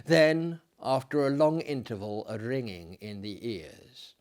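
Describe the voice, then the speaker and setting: A man giving a theatrical, hammy dramatic reading of a gothic horror story, deliberate and swinging between hushed and loud delivery. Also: medium pitch, lively intonation. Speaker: dramatic reader, performer.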